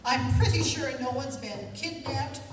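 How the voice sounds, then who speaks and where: Bossy, exasperated church lady acted by a woman, high and measured, dry scolding, radio play. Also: lively intonation. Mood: dry, practical. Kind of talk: comedic stage radio play acting. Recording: noisy, distant, very echoey hall.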